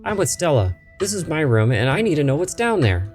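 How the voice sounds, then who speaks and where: A man's voice: a little high, sing-song, a little fast, pitched above the performer's own voice. A man voicing an insistent, stubborn young woman in a story game, over music. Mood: determined. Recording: music behind the voice.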